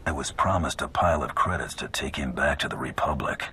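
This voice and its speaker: Brief: a blunt game mercenary, extremely deep voice, dry and curt. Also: male voice, a little fast, sharp tone, a little hiss or hum. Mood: sardonic. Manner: terse, dry and sarcastic. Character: gruff mercenary bounty hunter, blunt and unimpressed. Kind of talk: video game cutscene acting.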